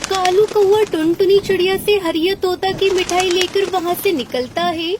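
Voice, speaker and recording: A woman narrates a children's moral tale from a script. Her voice is extremely high, and there is clear noise behind it.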